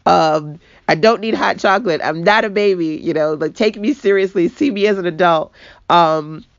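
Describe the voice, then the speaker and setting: A woman from Brooklyn with a deep, raspy voice, giving a chatty motivational talk as a business coach, laughing and quoting people as she goes. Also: moderate pace, no room sound. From Brooklyn, New York. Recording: dull lo-fi dry recording.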